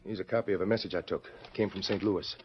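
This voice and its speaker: An actor playing a sly small-town telegrapher who talks bluntly and wheedles, in 1940s radio style. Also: male voice, low voice, fairly even intonation, quick pace, background noise, lightly acted. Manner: blunt, wheedling frontier talk. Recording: dull lo-fi old radio recording.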